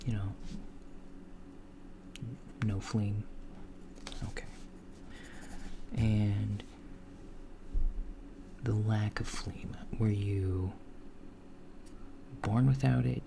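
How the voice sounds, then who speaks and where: Man as a gravelly, slow alien doctor for ASMR roleplay, hushed and hesitant, over audible background hiss. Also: lowish voice, level tone. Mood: calm clinical. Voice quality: soft, hushed, partly whispered. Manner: unhurried, hesitant, with many fillers and murmured acknowledgments. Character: calm alien doctor examining a human patient.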